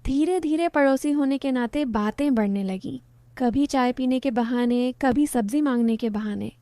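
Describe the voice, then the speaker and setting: Mellow-voiced woman narrating a story. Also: high voice, normal speed, clean tone, no room sound. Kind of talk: first-person story narration.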